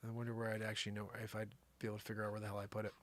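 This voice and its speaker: A man's voice: on the low side, completely flat delivery, very fast, a bit bright. A man hosting a live show with casual, slangy, rambling comedic commentary, often thinking aloud. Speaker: live variety show host.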